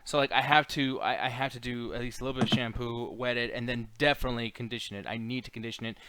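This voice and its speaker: A man's voice: medium pitch, steady tone, fast talker, noisy background. A man hosting a live stream in casual chat, blunt and joking, with plenty of swearing and slang.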